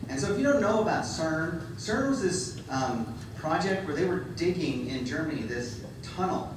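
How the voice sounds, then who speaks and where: A man's voice: fairly high pitch, sing-song, normal speed. A middle-aged man giving a humorous conference talk, self-deprecating and full of ums. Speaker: conference speaker. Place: large hall with audience. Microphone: handheld microphone. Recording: echoey hall, distant mic, background noise.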